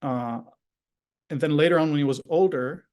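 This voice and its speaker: A man's voice: on the high side, moderate pace, a little mellow. A man whose first language is Hebrew, giving a conversational online parenting workshop talk over a video call and often checking in with "right?". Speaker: parenting educator.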